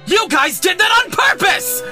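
Girl doing a whiny dramatic sick rich boy, swoopy lowish voice, manga dub with music behind. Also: big pitch swings, unhurried, raised voice, full character voice. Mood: outraged. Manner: plaintive, theatrical. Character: whiny, melodramatic sick teenage rich schoolboy. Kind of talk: fan manga dub acting.

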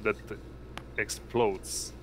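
A man improvising narration as game master of a tabletop roleplaying game, speaking haltingly in short phrases. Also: slight background noise. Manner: halting, pieces descriptions together in short phrases.

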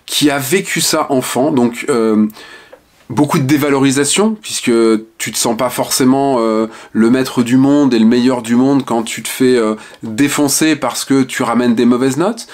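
A man's voice: mid-range voice, moderate pace. A man in his fifties from the Ardennes, frankly telling his life story to the camera at home with hesitant fillers. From Ardennes, France. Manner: frank and reflective, many hesitant "euh" fillers. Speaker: author and online speaker. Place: small home room with shelves and lamp.

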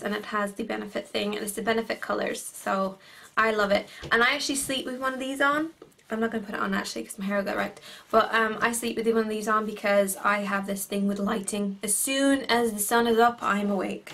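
A bubbly Irish woman in her twenties gushes through a casual haul video, piling on fillers and excited asides. Her voice is a little croaky today. She talks to a camera on the desk in her bedroom. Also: mid-range voice. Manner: bubbly, gushing, chatty with many fillers. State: a bit croaky. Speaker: beauty vlogger. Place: bedroom at home.

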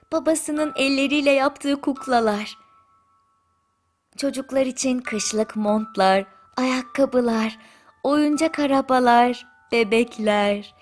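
Female bedtime narrator, higher-pitched, unhurried, soothing children's fairy-tale delivery. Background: music.

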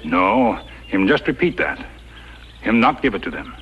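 A muffled, slightly noisy 1950s radio western recording carries a low male voice. He is a stoic, faithful companion, speaking in clipped, broken English. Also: big pitch swings, a little slow. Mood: puzzled.

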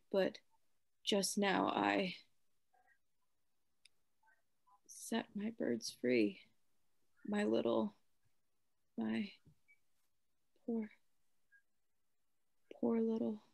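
A woman playing a tormented madman in a radio play, raving and pleading in halting, repetitive phrases. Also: mid-range voice, lively intonation, creaky, a little mellow, heavily acted. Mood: faint, fading. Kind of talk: theatrical radio play acting.

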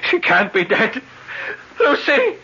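A man's voice: very high voice, huge pitch swings, takes it slowly, bright, forceful tone, breathy edge, heavily acted. An actor playing a distraught young man in a radio drama, tense and strained over his vampire beloved. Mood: anguished. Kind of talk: 1970s radio drama acting. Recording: dull old radio recording.

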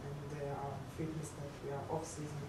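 Low-voiced woman interpreting in an echoey room. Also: monotone. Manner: halting, hesitant delivery in simple non-native English. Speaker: interpreter for a visiting football team at a press conference. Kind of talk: press conference interpreting. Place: echoey indoor press conference room. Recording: very echoey room, distant mic, clear noise.

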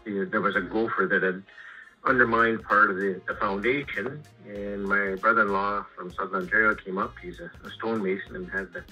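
Deep, raspy Canadian man on phone. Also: steady tone, bright, forceful tone. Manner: rambling, halting, repeats words. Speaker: homeowner and sawmill customer. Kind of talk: casual phone chat about his projects. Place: remote phone call. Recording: muffled lo-fi phone call, music underneath. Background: music.

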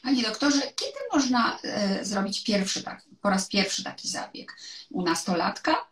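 A woman's voice: medium pitch, lively intonation, normal speed, fairly bright tone, recorded from a distance. A woman in her fifties hosting a video-call interview, asking questions frankly and openly. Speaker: show host. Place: living room, indoors. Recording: echoey, off-mic room sound.

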